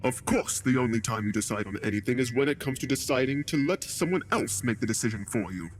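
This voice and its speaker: Indignant, lively man as an alien councilman. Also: mid-range voice, fast talker, faint music behind, lightly acted. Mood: sarcastic. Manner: accusing, sarcastic. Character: indignant, skeptical male alien council official. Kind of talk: science fiction audio drama acting.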